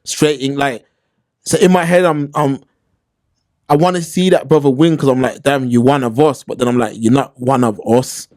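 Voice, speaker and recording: A man's voice: medium pitch, fairly even intonation, moderate pace, a little mellow. A man with a London accent giving opinions in casual podcast talk, slangy and emphatic, repeating points for stress. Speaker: podcast host.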